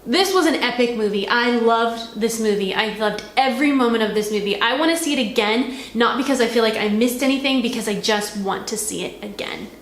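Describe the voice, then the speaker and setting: A woman's voice: a little high, fairly quick, few pauses. A woman hosting a casual video review, talking in a conversational, gushing fan's way. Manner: enthusiastic, gushing fan talk. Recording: roomy echo with background noise.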